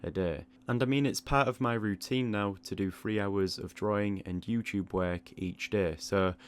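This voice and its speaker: Low-voiced man giving reflective advice. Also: fairly even intonation, moderate pace, clean tone. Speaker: online art channel creator. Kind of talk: reflective advice monologue, audio log.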